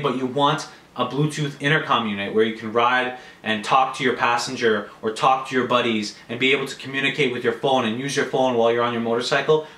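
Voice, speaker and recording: A man's voice: medium pitch, pushed and bright. A man in his twenties with a Canadian accent, giving an enthusiastic, casual product review to camera in a conversational way. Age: 20s. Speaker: motorcycle gear reviewer. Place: small furnished room at home, indoors. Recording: roomy, echoey indoor sound.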